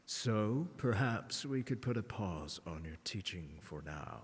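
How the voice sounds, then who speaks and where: A man playing a haughty, condescending, seductive scholar in an ASMR audio roleplay, speaking in a pompous, teasing drawl with deliberate emphasis. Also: on the low side, lively intonation, husky, heavily acted. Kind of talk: ASMR roleplay, scripted lecture to the listener. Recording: long hall-like reverb.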